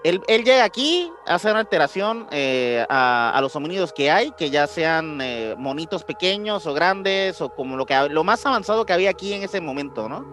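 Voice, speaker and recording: A man's voice: fairly high pitch, expressive, moderate pace, few pauses, fairly bright tone. A man with a Latin American Spanish accent co-hosting a podcast, explaining things casually with slang and frequent tag questions. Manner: colloquial slang, seeks agreement with tag questions. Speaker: podcast co-host. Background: music.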